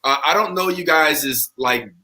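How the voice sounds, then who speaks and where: High, bright-voiced American man hosting. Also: moderate pace. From St. Louis, Missouri, US. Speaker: podcast host. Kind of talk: casual chat.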